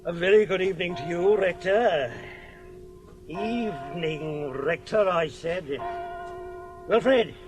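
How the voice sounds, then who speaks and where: Sneering, high-voiced upper-class English squire. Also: male voice, slow, long pauses, music in the background. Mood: drunken, mocking. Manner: mocking, pompous, sarcastic. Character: dissolute, sneering village squire, drunk and lecherous. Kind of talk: British radio drama acting.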